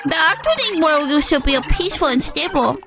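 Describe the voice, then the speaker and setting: A woman's voice: high-pitched, expressive, a little fast, music in the background. A woman voicing a childish rogue AI villain in a game, petulant, taunting and menacing. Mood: scornful.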